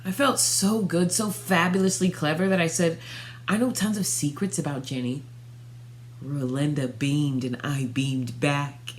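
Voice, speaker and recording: A young woman reading a children's storybook aloud, narrating expressively in the first person with dramatic pauses. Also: sits low, fairly even intonation. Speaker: storybook reader. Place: small furnished room at home.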